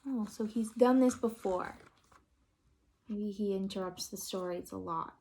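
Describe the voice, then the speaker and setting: A woman's voice: mid-range voice, measured pace. A woman reading a picture book aloud expressively, with questioning asides to young listeners. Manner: expressive, addresses children with questions. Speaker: read-aloud storyteller.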